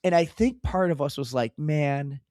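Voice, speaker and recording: Hoarse man co-hosting a podcast. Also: on the high side, normal speed, no echo, clean studio sound. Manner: excitable, laughing, stumbling restarts. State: hoarse, losing his voice. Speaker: podcast co-host. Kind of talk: casual chat.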